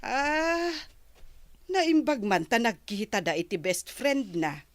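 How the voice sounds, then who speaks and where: A woman's voice: on the high side, big pitch swings, slow pace, dry recording. An actress playing a curious neighbor woman who gossips about a visitor. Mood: gossiping. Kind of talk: Ilocano radio drama acting.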